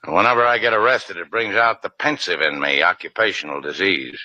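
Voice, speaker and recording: An actor playing a tough, wisecracking American adventurer in 1950s radio drama style. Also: male voice, low voice, a little fast, pushed and bright, dry recording, lo-fi sound. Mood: wry, curt. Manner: wisecracking, hard-boiled. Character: tough, wry male American adventurer in Havana.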